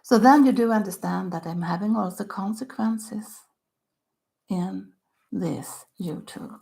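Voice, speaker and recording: Older Swedish woman with gravelly voice. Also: medium pitch. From Sweden. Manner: halting, slow-spoken, drops to soft near-whispers at phrase ends. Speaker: author. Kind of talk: self-recorded book talk.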